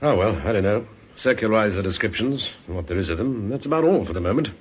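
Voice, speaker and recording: An actor playing a brisk, wry police inspector in an old radio crime drama. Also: male voice, lowish voice, animated, slight background noise, natural voice. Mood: wry, businesslike. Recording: dull old radio recording.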